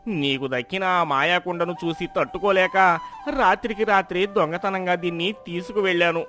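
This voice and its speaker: A man's voice: high voice, steady tone, normal speed, bright, forceful tone. A man playing a greedy, self-pitying village husband in a moral tale, with dramatic emotional delivery. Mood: remorseful.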